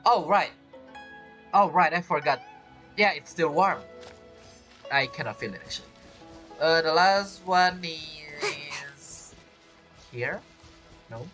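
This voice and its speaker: A man's voice: a little high, takes it slowly, pauses often, bright, forceful tone, poor audio. A man giving casual let's-play commentary, reading game text aloud and repeating himself as he goes. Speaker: gaming streamer. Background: game music.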